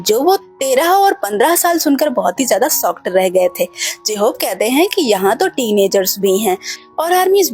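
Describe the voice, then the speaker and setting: A woman's voice: on the high side, a little mellow. A woman narrating a chatty fan recap in a gossipy way, often turning to address her fellow fans directly.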